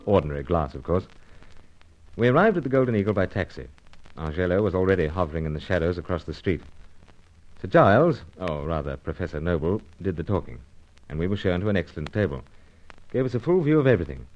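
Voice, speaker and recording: An actor playing an edgy secret agent in disguise, narrating and acting an old-time adventure serial in clipped, gentlemanly phrases. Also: male voice, sits low, big pitch swings, fast. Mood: wry, narrating. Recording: dull lo-fi audio, music behind.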